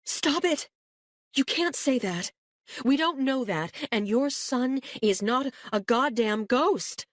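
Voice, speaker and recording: A woman's voice: medium pitch, sing-song, normal speed, no echo, studio quality, pitched above the performer's own voice. A woman voicing a grieving mother who speaks in an emphatic, insistent, tearful way. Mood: distraught. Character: distraught mother, older woman, sharp and grieving.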